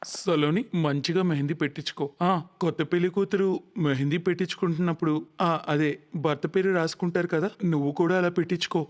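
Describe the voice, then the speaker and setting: A man playing a gentle young bridegroom in a cartoon story, in a reverberant recording. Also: fairly high pitch, steady tone, brisk, lightly acted. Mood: friendly. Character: young bridegroom phoning his fiancée, gentle.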